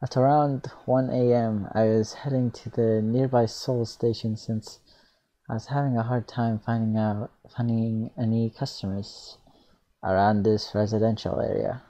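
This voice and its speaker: A man's voice: fairly low pitch, steady tone, a little slow, mellow, poor audio. A man giving a casual read-aloud narration of a visual novel.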